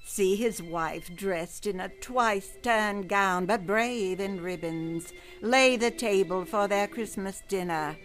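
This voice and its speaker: A woman playing a solemn, all-seeing spirit guide in a radio play, measured and portentous. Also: mid-range voice, fairly bright tone, soft background music. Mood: observing, gentle.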